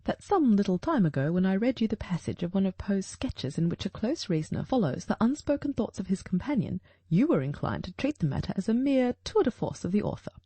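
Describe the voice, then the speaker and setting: A woman's voice: medium pitch, very animated, fairly quick, hardly any pauses, dark tone, slightly rough, dry recording. A woman reading the part of a shrewd, self-assured male detective in an audiobook, precise and formal as if teaching.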